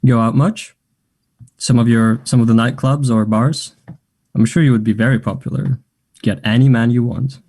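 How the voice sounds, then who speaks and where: Confident man acted by a man, medium pitch, mellow tone, flirty, casual, hesitant phrasing, play reading. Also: fairly even intonation, moderate pace, natural voice. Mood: teasing, forward. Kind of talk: table read of a stage play.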